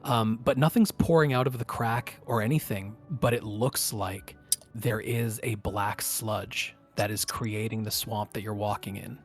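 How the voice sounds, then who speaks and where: A man narrating and refereeing a tabletop fantasy game as game master, describing things in an improvised, halting way. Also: lowish voice, soft background music.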